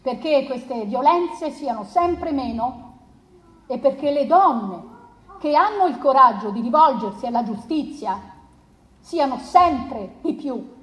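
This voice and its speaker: A resolute lawyer making her plea in a stage courtroom drama. She speaks slowly and solemnly, in a fairly high, deliberate voice. The room echoes strongly around her. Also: lively intonation.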